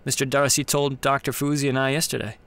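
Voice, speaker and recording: A man's voice: mid-range voice, flat delivery, fast, fairly bright tone, smooth, lightly acted. Man acting a polite, earnest assistant. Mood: sympathetic, awkward. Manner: deferential, earnest. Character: polite, sympathetic male assistant to a doctor. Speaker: actor in an audio drama.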